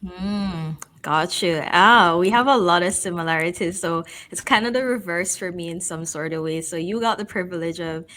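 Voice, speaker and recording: A woman from Guyana hosting a casual interview, chatty and quick to laugh. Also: on the low side, a bit bright. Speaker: host.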